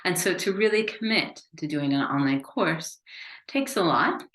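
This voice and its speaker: A woman's voice: on the low side, animated, moderate pace, fairly bright tone. An American woman giving an upbeat, conversational business webinar. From United States. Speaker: business consultant. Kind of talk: webinar presentation. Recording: echoey room.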